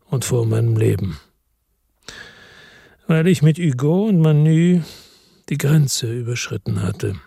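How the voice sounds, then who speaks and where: A man's voice: medium pitch, creaky, mellow, breathy edge, no echo, studio quality. An actor playing a world-weary middle-aged ex-cop who narrates his story in the first person in a radio drama, laconic and reflective.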